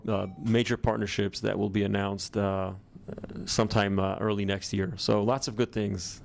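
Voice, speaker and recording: A middle-aged man with an American accent, a startup founder answering interview questions in a measured way with many ums and uhs. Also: on the low side, vocal fry, slight background noise. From born in Israel, grew up in the US.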